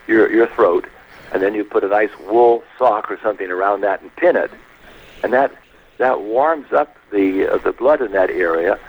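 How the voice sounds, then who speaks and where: A man guest on a radio talk show, explaining health matters in a measured, anecdotal way, step by step. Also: lowish voice, gravelly, narrow, tinny audio, a little hiss or hum.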